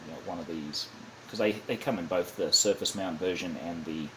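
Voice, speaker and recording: A man giving a casual, unscripted hands-on demonstration, halting and thinking aloud as he goes, often correcting himself. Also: deep voice. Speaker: hobbyist demonstrating a kit build. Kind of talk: casual unscripted how-to narration. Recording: clearly noisy amateur home recording.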